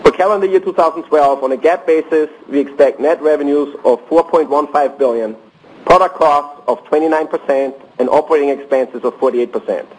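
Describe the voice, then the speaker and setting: A man reads financial results in a measured, businesslike tone. His voice sits a little high for a man. The sound is conference call audio. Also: husky, rough recording. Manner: measured, formal, businesslike delivery. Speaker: company finance executive. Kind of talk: reading prepared earnings remarks on a conference call.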